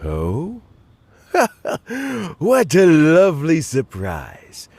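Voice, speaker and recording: An actor playing a kindly, dignified aging statesman in a video game, courteous and formal with a weary, measured manner. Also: fairly high pitch, wildly swooping pitch, darkish tone, slight background noise, full character voice. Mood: warmly delighted. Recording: game music behind the voice.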